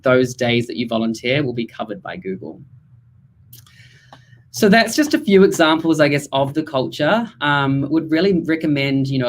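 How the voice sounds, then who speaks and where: A man hosting an online careers session, speaking in a relaxed way with many fillers. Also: medium pitch. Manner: relaxed, filler-heavy, with "um" and "you know". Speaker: event host.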